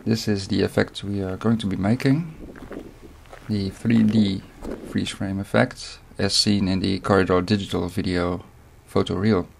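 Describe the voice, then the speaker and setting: Gravelly Dutch-accented man teaching software. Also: sits low, a little hiss or hum. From Netherlands. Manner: steady step-by-step instruction with short pauses. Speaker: video editing tutor. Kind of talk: screen-recorded software tutorial.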